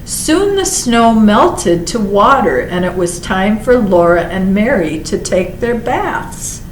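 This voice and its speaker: A woman reading a children's picture book aloud as a library storyteller, expressive, drawing out words and adding small asides. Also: mid-range voice, slow. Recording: roomy echo with faint background noise.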